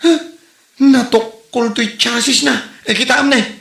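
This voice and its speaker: High, creaky Ilocano husband, acted by a man. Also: steady tone, normal speed, a bit bright, heavily acted. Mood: exasperated. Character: adult husband, exasperated, sly, whiny, comic. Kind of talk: comedy drama acting. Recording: echoey room.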